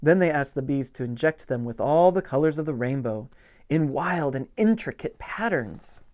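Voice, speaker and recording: A man reading a picture book aloud as a pastor at storytime, with gentle expression and simple asides for young children. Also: a little high, moderate pace. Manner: gentle, expressive, stretches key words for emphasis.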